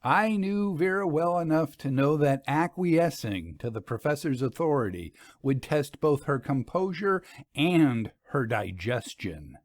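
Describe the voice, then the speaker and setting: A man giving an articulate, expressive scripted narration of a story. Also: fairly high pitch, unhurried, no room sound, clean studio sound. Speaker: audiobook narrator.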